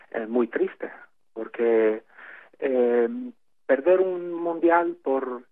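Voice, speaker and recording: Slow, halting man on a phone line. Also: medium pitch. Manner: halting, many fillers, slow and deliberate. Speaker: interview guest on phone. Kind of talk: phone interview, explaining at length.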